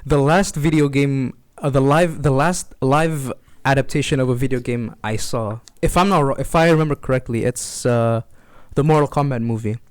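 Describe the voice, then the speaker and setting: A young man as a podcast guest, chatting casually in a measured, deadpan way. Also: mid-range voice, clear, resonant tone, dry recording.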